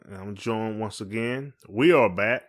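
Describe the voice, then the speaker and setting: Low-voiced Southern American man, casual podcast co-host. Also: unhurried. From United States. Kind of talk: casual couple's podcast chat.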